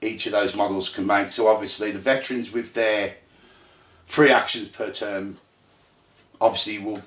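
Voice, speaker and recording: A man from Ireland with a Birmingham accent, casually hosting a tabletop game night and explaining the rules in a halting, self-deprecating way with plenty of fillers. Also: fairly low pitch, fairly even intonation, a bit bright. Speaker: host and game runner. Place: indoor room at a gaming table. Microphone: phone. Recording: echoey room on phone mic.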